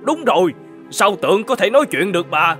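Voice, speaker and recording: High, excitable cartoon mandarin. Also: male voice, fairly quick, soft background music. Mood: alarmed. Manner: indignant, blustering, exclaiming. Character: pompous, excitable middle-aged male court mandarin. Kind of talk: animated folk-tale cartoon acting.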